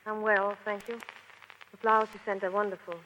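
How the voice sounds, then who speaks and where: A woman's voice: medium pitch, level tone, fairly quick, pushed and bright, natural voice. An actress playing a guarded, worldly cabaret woman in a 1930s radio drama, formal and brief in her replies. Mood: gracious. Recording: vintage radio, hall reverb, faint noise.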